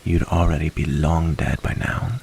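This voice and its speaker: A man playing a wary, earnest and protective werewolf in an ASMR roleplay, giving hushed warnings with breathy pauses. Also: a bass voice, flat delivery, a little hiss or hum, full character voice. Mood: confiding, ominous.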